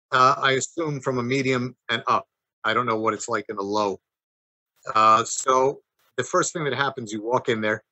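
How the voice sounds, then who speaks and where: A middle-aged man from New Jersey, an interview guest telling a personal story casually, halting and repeating words. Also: mid-range voice, pushed and bright, no echo, low-quality recording. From New Jersey, United States. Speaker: interview guest, former prisoner. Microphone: video call.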